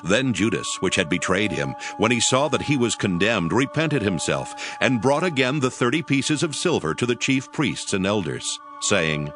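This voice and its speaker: A man narrating scripture as a solemn, measured storyteller. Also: lowish voice, big pitch swings, music in the background.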